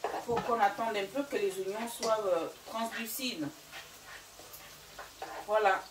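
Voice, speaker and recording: A woman's voice: mid-range voice, fairly even intonation, slow, echoey room, recorded from a distance, background noise. A woman from Gabon and Equatorial Guinea, French not her first language, chatting through a home cooking vlog with many fillers. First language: Fang or another local language of Equatorial Guinea. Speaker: cooking channel host. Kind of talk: casual cooking vlog talk. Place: home kitchen.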